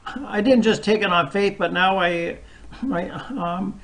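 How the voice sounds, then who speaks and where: A man's voice: high voice, slow, fairly bright tone, rough recording. A man hosting a casual discussion, talking in a rambling, loose way. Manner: rambling, loosely strung thoughts. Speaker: host.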